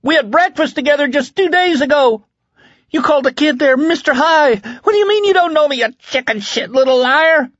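A frantic, high-pitched begging man. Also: lively intonation, moderate pace, fairly bright tone, no room sound, muffled recording. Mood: panicked outrage. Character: desperate young male drug user, pleading and screaming.

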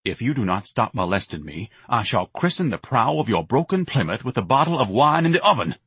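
A man's voice: mid-range voice, big pitch swings, brisk, a bit bright, husky, no room sound, pitched above the performer's own voice, heavily acted. A man voicing a pompous, bellowing, bombastic man-child. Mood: irritable, imperious. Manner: grandiloquent, haughty, theatrical declaiming. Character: pompous, bellowing, bombastic grown son, lazy and indignant.

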